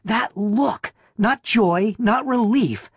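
Young wife, audiobook: high, breathy little-girl voice, dreamy and awed; played by a man. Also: slow pace, no room sound, studio quality, heavily acted. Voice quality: breathy, girlish. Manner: wide-eyed, dreamy, earnest. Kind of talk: audiobook character voice.

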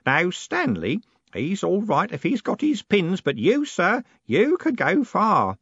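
Tearful, humble old postal clerk, high and slow, pleading, as a male reader puts him on. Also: wildly swooping pitch, fairly bright tone, breathy edge, no room sound, dull audio, heavily acted.

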